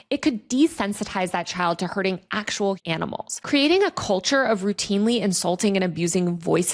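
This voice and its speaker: A woman delivering video essay commentary as a tech reporter, speaking in an earnest, articulate and lightly conversational way. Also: medium pitch.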